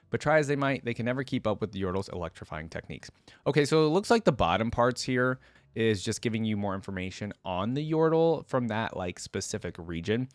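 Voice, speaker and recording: A man with an average-pitched voice hosts a casual reaction video. He reads passages aloud and adds informal commentary of his own. The sound is dry, with very little room in it. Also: dry recording.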